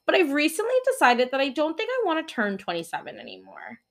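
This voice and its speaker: A woman's voice: sits high, huge pitch swings, fast talker, a bit bright. A woman in her twenties hosting a solo podcast, chatting playfully and rambling, with laughs at her own jokes. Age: 20s. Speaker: podcast host.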